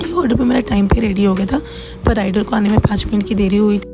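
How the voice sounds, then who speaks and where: A middle-aged woman narrating a casual home-kitchen vlog in a plain, everyday way, her voice slightly hoarse as if under the weather. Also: mid-range voice, fast. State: mildly unwell, voice a little hoarse. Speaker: home cook running a cloud kitchen, vlogger. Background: background music.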